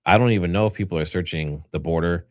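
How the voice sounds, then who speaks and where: Low-voiced man hosting a livestream. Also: quick pace, darkish tone, dry recording, clean studio sound. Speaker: livestream host. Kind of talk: casual livestream commentary, partly reading notes aloud.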